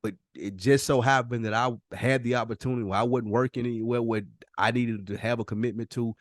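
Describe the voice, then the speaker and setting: Man, American accent, casual storyteller, emphatic, medium pitch, dry podcast sound. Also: steady tone, brisk, no echo. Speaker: podcast co-host.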